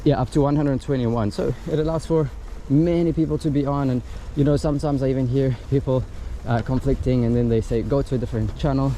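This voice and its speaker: A man's voice: mid-range voice, steady tone, brisk, hardly any pauses. Man demonstrating a gadget outdoors, noisy background. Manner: explanatory, step by step. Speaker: gadget reviewer. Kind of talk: casual hands-on product demonstration. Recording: loud wind and outdoor ambient noise.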